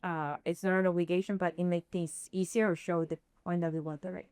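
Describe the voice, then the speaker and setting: Woman, Chilean Spanish accent, non-native English, halting presenter, fairly low pitch, dry sound. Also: level tone, darkish tone, clean tone, no room sound. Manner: halting, searching for words. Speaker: workshop presenter. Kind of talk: leading a workshop session.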